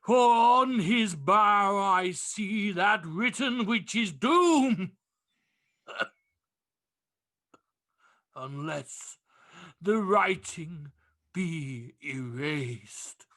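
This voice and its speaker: Male actor as ominous spirit for radio play: very slow, declamatory, high and bright, commanding. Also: animated, heavily acted. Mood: grave warning. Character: stern, ominous Christmas spirit, commanding and grave. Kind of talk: vintage radio drama acting.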